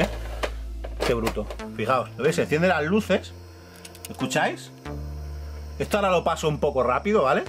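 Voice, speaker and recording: A man's voice: fairly high pitch, slight background noise. Chatty Spanish man with a La Mancha accent. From Catalonia, Spain. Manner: chatty, enthusiastic, addresses viewers directly. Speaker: YouTube host. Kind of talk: casual room tour vlog. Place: home room full of shelves, indoors.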